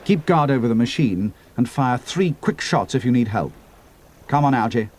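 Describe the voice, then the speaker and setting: A man's voice: medium pitch, animated, moderate pace, darkish tone, a little hiss or hum, natural voice. A man voicing a grave, decisive young air adventurer in a storybook reading, with a terse, commanding manner. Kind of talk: dramatic audiobook character reading.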